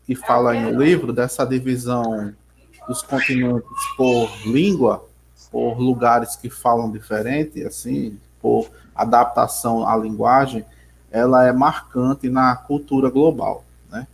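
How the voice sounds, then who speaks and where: A man's voice: medium pitch, steady tone, unhurried, creaky, slight background noise, low-quality recording. A man teaching an online geography class with a Rio Grande do Norte accent, rambling and restarting his sentences as he calls on students over a video call. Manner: rambling, restarts often, addresses students by name. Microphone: computer mic on video call.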